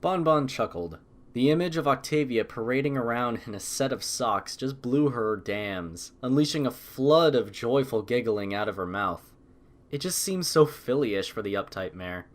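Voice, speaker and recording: Animated male storybook narrator. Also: mid-range voice, moderate pace. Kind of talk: scripted audiobook narration.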